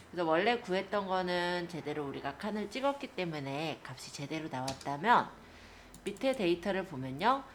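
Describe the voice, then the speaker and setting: A woman teacher giving a step-by-step software tutorial, explaining politely to learners. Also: fairly low pitch, a little hiss or hum.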